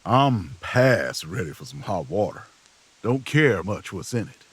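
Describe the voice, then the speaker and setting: Folksy, grim survivor, lowish pitch, stoic first-person audio drama narration. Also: male voice, big pitch swings, unhurried, breathy edge, full character voice. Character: gruff, weary, folksy survivor recounting an ordeal.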